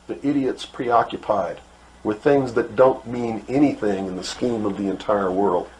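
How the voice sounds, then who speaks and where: American man, low voice, impassioned lecture, emphatic repetition, faint background noise. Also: darkish tone. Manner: emphatic, rhetorical questions, deliberate repetition. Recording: noisy old talk recording.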